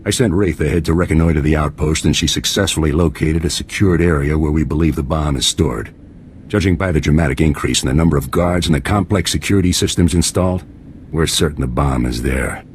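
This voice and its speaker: A man playing a stern, composed middle-aged military commander in a video game, speaking in a measured, formal, authoritative way. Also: a bass voice, a little hiss or hum. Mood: grave, businesslike. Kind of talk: scripted video game acting.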